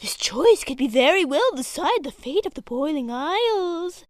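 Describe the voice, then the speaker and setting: A woman's voice: sits high, very animated, normal speed, no echo, studio quality, voice pushed up. A woman voicing a mocking caricature of a self-important teenage girl, melodramatic and exaggerated, in a cartoon dub. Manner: melodramatic, sing-song mockery.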